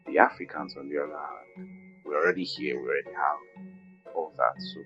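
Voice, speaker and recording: A man's voice: very deep voice, steady tone, brisk. A man with a Nigerian accent talking as a panelist in a casual educational discussion. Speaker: panelist on a history discussion series. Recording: slightly dull sound, music behind.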